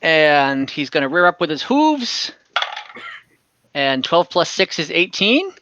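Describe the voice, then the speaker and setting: A game master narrating a tabletop role-playing game informally, thinking out loud while tallying dice over an online call. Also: medium pitch, very animated, slow pace, lo-fi sound. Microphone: online group call.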